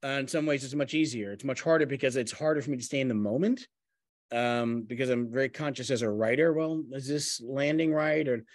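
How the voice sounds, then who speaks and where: A middle-aged man, a playwright and actor, reflecting casually in a halting, self-deprecating way on a video call. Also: medium pitch, no room sound, studio quality. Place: home room on a video call. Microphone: video call microphone.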